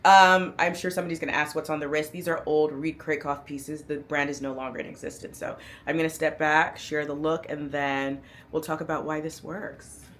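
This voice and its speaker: A woman's voice: sits low, level tone, normal speed, few pauses, a bit bright. A woman in her thirties, a fashion vlogger, talking casually and upbeat to camera in a chatty, playful way. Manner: chatty, upbeat, playful slang, hypes herself up. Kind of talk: casual outfit breakdown to camera.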